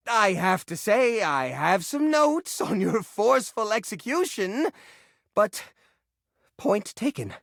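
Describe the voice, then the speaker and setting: An actor voicing a fussy, anxious junk drawer come to life in a video game, theatrical and flustered, with old-fashioned folksy exclamations. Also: male voice, high voice, creaky, dry recording, clean studio sound, exaggerated voice. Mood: grudging, softening. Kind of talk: video game character voice acting.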